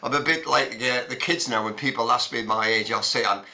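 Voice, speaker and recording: A man, recalling his childhood in an unhurried, reflective way, pausing often with ums and uhs. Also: medium pitch, sharp tone. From Scotland. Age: 70s. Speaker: interviewee. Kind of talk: reminiscing conversation.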